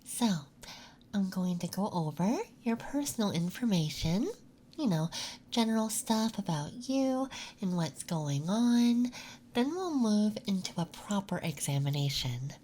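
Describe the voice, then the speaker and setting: A soft-spoken ASMR performer playing a gentle, calming nurse, speaking in a soothing, unhurried way during a roleplay intake. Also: medium pitch, slight background noise.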